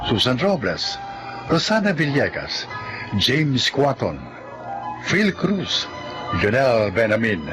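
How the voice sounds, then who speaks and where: Announcer: a formal, mid-pitched man with expressive phrasing. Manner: measured, formal. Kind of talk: scripted broadcast narration and credits.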